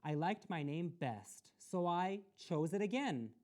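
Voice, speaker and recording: A woman's voice: lowish voice, expressive, slow, dark tone, pitched above the performer's own voice. A woman voicing a shy, polite and thoughtful young schoolgirl in a picture book. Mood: earnest.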